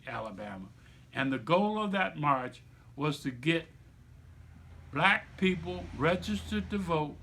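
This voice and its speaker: Slow, bright-voiced man reading aloud. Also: on the high side. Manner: deliberate, emphatic phrasing with repetition for young listeners. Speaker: storyteller reading aloud to children. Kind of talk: read-aloud narration with teaching asides.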